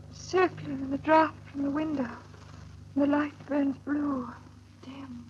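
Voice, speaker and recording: A woman's voice: high-pitched, darkish tone. An actress playing a doomed young Englishwoman in a 1930s radio drama, speaking in an intimate, theatrical way. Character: doomed young Englishwoman, frightened, fading, turning sweetly sinister. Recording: muffled, noisy vintage 1930s radio recording.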